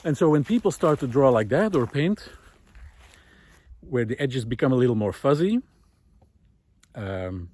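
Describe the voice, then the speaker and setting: A middle-aged man thinking aloud in an informal, reflective monologue, often pausing mid-phrase as he searches for words. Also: medium pitch, darkish tone, no room sound. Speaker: art teacher giving a recorded monologue. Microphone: close recorder carried while walking.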